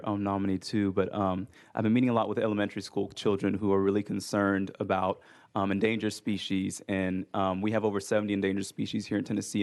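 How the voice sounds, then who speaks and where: A man's voice: on the low side, a little mellow. A male legislator questioning a nominee at a hearing, polite, with lots of ums. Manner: polite, many ums, rapid.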